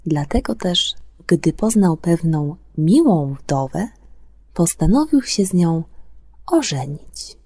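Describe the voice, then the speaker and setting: A woman hosting a children's bedtime radio show, presenting and telling stories in an expressive way for young listeners. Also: lowish voice, husky. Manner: expressive, drawn-out delivery for children. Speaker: radio host and storyteller. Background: music.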